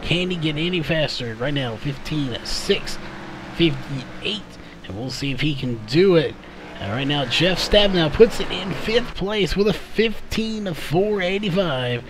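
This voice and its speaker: Brief: male race commentator, voice fraying from a long day, reads out lap times steadily, slightly higher pitch, noisy track audio underneath. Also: animated, a little slow. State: losing his voice. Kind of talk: play-by-play commentary.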